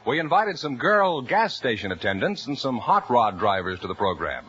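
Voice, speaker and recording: A man announcing a 1950s radio quiz show in a crisp, measured, polished delivery. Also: medium pitch, very animated, a bit bright. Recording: dull 1950s broadcast recording.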